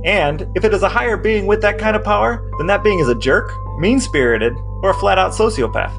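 A man's voice: sits high, expressive, fairly quick, vocal fry. An American man co-hosting a podcast, reading messages aloud and talking. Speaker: podcast co-host. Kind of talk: dramatic reading of text messages and podcast talk. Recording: guitar music behind the voice. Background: guitar music.

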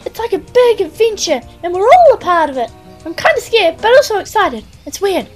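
Excitable young female adventurer, played by a woman, extremely high and animated, gushing melodrama for a comedy radio play. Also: a little fast, soft background music. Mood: excited, awed. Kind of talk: amateur comedy radio drama acting.

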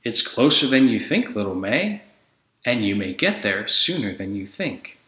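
A man's voice: on the low side, very animated, a little slow, bright, forceful tone, a little hiss or hum, full character voice. Reassuring, kind father for a storybook man reading, soothing, in an echoey room. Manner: soothing, encouraging.

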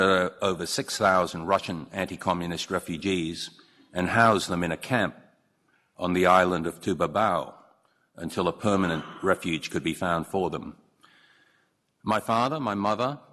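Deep-voiced man in his sixties. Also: a bit bright. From Hong Kong. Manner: measured and earnest, with occasional slips and restarts. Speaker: newly appointed judge giving a speech. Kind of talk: formal ceremonial speech. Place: large courtroom. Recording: long reverb of a large courtroom.

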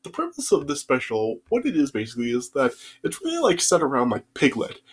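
Young guy w a stuffed up nose, kinda husky, lots of "like" and "uh", webcam in his bedroom. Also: medium pitch, wildly swooping pitch, a little fast. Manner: rambling, many fillers and restarts. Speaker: YouTube reviewer. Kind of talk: casual video review. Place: small bedroom, indoors. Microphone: webcam at desk distance.

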